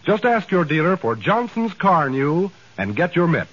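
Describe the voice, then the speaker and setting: A man with an American accent reading a scripted 1940s radio sales pitch, addressing listeners directly like a salesman. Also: sing-song, moderate pace, a bit bright, slightly rough. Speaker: commercial announcer. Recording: dull vintage 1940s radio recording.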